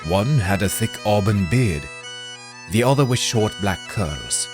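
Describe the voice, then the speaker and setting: Low-voiced male narrator, animated delivery, music bed. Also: measured pace. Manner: expressive, hushed in tense moments. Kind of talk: scripted storybook narration.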